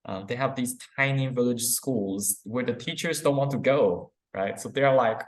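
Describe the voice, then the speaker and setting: A man's voice: medium pitch, normal speed. A man from Taiwan and Belize, a professor answering interview questions in an informal, explanatory way. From born in Taiwan, grew up in Belize. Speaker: psychology professor, interview guest. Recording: roomy, echoey interview sound.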